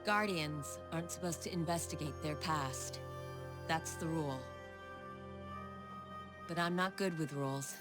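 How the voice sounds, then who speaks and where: A low-voiced, defiant warrior. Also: female voice, measured pace, long pauses. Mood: earnest. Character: defiant woman guardian, rule-breaking. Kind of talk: scripted narration. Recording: narration over loud music.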